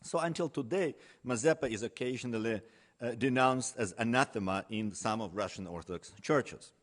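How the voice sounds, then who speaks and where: A man's voice: medium pitch, normal speed. A man lecturing as a professor at a conference, partly reading from notes, with frequent hesitations.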